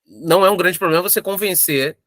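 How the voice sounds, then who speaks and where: Earnest man with a fairly high voice. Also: brisk, fairly bright tone, no echo, studio quality. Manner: earnest and encouraging, repeats himself. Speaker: writer and creator of audio dramas. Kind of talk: interview advice.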